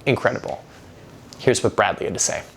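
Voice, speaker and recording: A man's voice: lowish voice, a little fast, creaky, fairly bright tone, slight background noise. A man hosting a food vlog, telling his restaurant review in a chatty, enthusiastic, casual way. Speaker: food vlog host and narrator.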